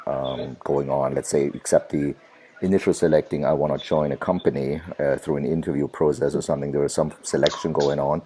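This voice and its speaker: Man, podcast host, very deep voice, husky, hesitant questions. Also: warm tone. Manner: hesitant, repeats words while asking. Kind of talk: conversational interview.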